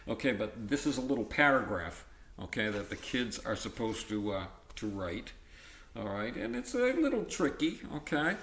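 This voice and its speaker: A man's voice: mid-range voice, very animated, normal speed, a bit bright, roomy sound. A man reading a textbook aloud in an explanatory, teacherly manner, breaking off for informal asides and check-ins. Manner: explanatory, teacherly, checks in with "okay" and "all right". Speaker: audiobook reader adding his own explanations.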